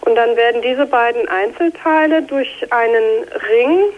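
A woman of about thirty calling a phone-in with a slight cold, explaining her story carefully in a measured way. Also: on the high side. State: has a cold, slightly scratchy voice. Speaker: phone-in caller. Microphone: telephone. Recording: tinny narrow phone line.

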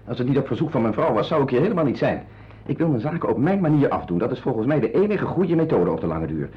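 An actor playing a self-important, opinionated husband in a radio drama, confiding in listeners in a wordy way and hesitant with strangers. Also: male voice, medium pitch, very animated, quick pace, slightly rough. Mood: irritated. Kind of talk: radio drama acting with narrated asides. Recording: dull, lo-fi old radio play recording.

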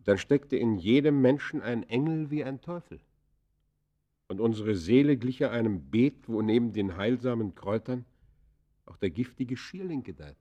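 An actor playing a penitent, brooding criminal in a classic radio play, speaking formal, solemn with halting stage delivery. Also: male voice, mid-range voice, lively intonation, warm tone, muffled recording, close to an everyday voice. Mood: earnest, searching. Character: penitent male criminal confessing his life, earnest and brooding. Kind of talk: classic German radio play acting, literary and declaimed.

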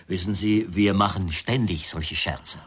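An actor playing a wry, defensive writer suspected of murder in a German radio crime drama, answering in a pointed, evasive way. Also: male voice, sits low, a little slow, a bit bright, slightly rough, full character voice.

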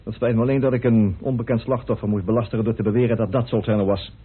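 A man's voice: fairly low pitch, fairly even intonation, fast, breathy edge. An actor in a radio play voicing a stubborn, wry man guarding a secret, emphatic and evasive. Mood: defensive, wry. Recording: muffled phone-like old radio recording.

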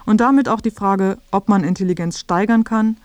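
Female feature narrator, mid pitch, explanatory scripted read, very dry studio sound. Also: moderate pace, darkish tone, no echo.

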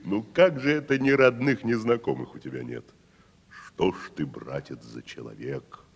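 An actor playing a proud, haughty provincial tragedian, patronizing and theatrical, in a 1970s audio stage drama. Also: male voice, low-pitched, steady tone, normal speed, gravelly, slightly rough, full character voice. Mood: proud, condescending. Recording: reverberant hall-like studio recording.

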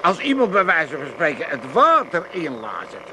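An older actor with an Amsterdam accent playing a pompous, excitable old father who stammers and repeats himself in a comedy radio play. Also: on the high side, normal speed, noisy background. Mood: gleeful, lecturing. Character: blustering, excitable old Amsterdam father, cackling at others' misery.

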